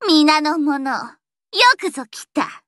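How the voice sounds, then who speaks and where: Casting: teenage anime game idol, piercingly high and unhurried, dark-fantasy pomposity over a bashful girlish core. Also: dry recording, studio quality, exaggerated voice. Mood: grandiose, dramatic. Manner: switches between pompous archaic proclamations and bashful plain girlish speech. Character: theatrical teenage idol girl, grandiose dark-fantasy poser, shy underneath. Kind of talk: anime mobile game voice lines.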